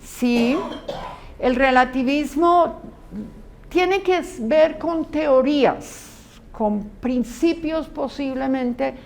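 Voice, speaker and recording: A woman's voice: high-pitched, drawn-out words, vocal fry, darkish tone, a little hiss or hum. A woman Bible teacher giving a conversational classroom lecture to students. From Colombia. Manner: earnest, conversational teaching with asides.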